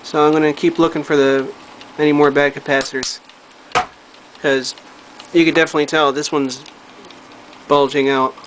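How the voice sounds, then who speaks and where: A man's voice: fairly high pitch, little pitch movement, normal speed, noisy background. A man talking informally and thinking aloud as a hobbyist doing a hands-on electronics repair, filming himself with a handheld camera. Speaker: hobbyist repairing vintage audio gear. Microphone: handheld camera he operates himself.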